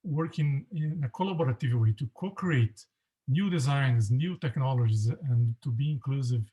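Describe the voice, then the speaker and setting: A male professor speaking in a panel discussion, measured and hesitant with many fillers. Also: medium pitch, mellow.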